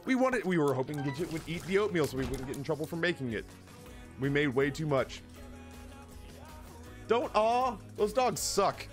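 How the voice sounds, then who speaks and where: Animated, foul-mouthed man telling stories. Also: a little high, normal speed, pauses often. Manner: animated, profane, exaggerating. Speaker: game streamer. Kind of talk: casual comic storytelling on a stream. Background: music in the background.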